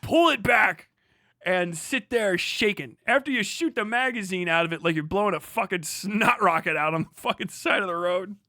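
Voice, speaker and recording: An American man hosts a livestream reaction with a high, sharp-edged voice. He talks casually, swears freely and leans on sarcasm and disbelief. The sound is very dry, with no room to it. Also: moderate pace, dry recording. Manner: sarcastic, profane, incredulous. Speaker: livestream host reacting to a video.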